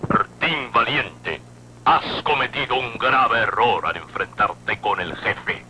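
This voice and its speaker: An actor playing a menacing villain in an old radio serial, declaiming deliberately over a noisy recording. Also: male voice, mid-range voice, expressive, bright, forceful tone, heavily acted. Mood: menacing threat. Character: menacing male villain threatening the hero.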